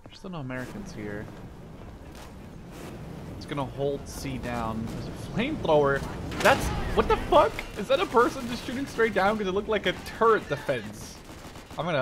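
A man in his twenties giving casual gameplay commentary, excitable and rambling, with frequent swearing. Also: mid-range voice. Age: 20s. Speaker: video game streamer. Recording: gunfire and game noise behind voice. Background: video game gunfire.

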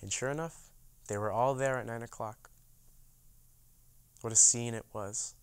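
Smooth young man acting a boycott veteran. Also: medium pitch, steady tone, measured pace, dry recording, lightly acted. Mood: awed. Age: young adult. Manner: theatrical storytelling, acts out dialogue. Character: animated boycott veteran proudly recounting union victory. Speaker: actor in oral history dramatization. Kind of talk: dramatized first-person monologue.

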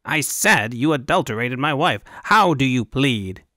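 A man's voice: medium pitch, animated, measured pace, pushed and bright, dry recording, clean studio sound. Man putting on a blustering, squealing fat governor. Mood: furious. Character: fat pompous governor, short-tempered, blustering, squealing bully.

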